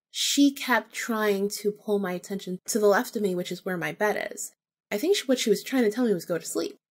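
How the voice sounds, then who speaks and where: Woman, podcast host, casual storyteller, mid-range voice, informal, animated retelling. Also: mid-range voice. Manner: animated retelling, acting out remembered dialogue, informal with swearing.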